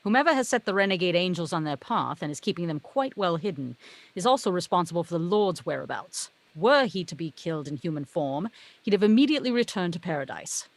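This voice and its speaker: A woman's voice: lowish voice, lively intonation, fairly quick, no room sound. A woman reading the part of a wry, knowing, articulate heavenly muse in a film script read-through. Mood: wry explaining.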